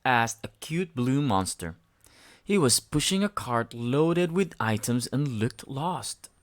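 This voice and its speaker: A woman reading a children's storybook aloud with expressive narration. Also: rumbling low, takes it slowly.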